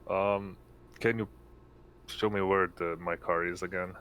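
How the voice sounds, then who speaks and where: A man's voice: deep voice, fairly even intonation, unhurried, fairly bright tone. A man taking part in a tabletop game as a player, speaking in casual table chat. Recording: noisy room ambience.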